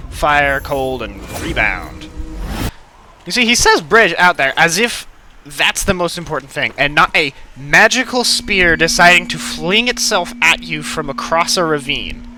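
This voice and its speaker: Very bright-voiced man commentating on gameplay. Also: a little high, big pitch swings, measured pace, slight background noise. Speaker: let's play host.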